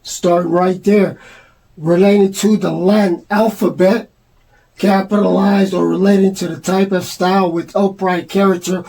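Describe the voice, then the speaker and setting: A man's voice: high voice, creaky, warm tone. A man in a rambling, earnest monologue, presenting his own numbers theory and reading definitions aloud. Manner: meandering, reads dictionary definitions aloud, casual and earnest.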